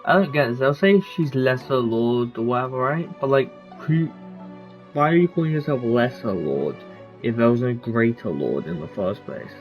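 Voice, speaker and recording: A man's voice: medium pitch, lively intonation, normal speed, rough recording. A man giving casual gameplay commentary as a streamer, talking in a rambling, informal way. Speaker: video game streamer. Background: music.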